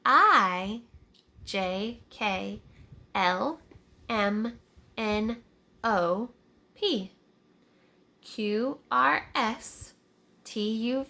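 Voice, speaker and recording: A woman's voice: fairly high pitch, creaky, sharp tone. A woman teacher reading a picture book aloud to young children, checking in with questions as she narrates.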